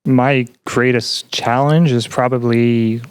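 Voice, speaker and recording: A young man reflecting earnestly and haltingly as a guest in a podcast interview. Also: mid-range voice, steady tone, clear, resonant tone, no echo. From Canada. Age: young adult. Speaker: game developer and student.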